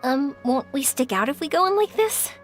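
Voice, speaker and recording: A woman's voice: high voice, very animated, moderate pace, soft background music. A woman voicing a polite, curious young traveling girl in a video game, earnest and considerate. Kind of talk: video game character acting.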